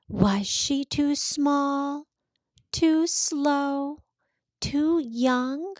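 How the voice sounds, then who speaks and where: Female storybook reader for young kids, somewhat high voice, expressive and very unhurried. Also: dry recording. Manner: expressive, deliberate, simple phrasing for children. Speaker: host of children's read-alouds. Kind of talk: storybook read-aloud for young children.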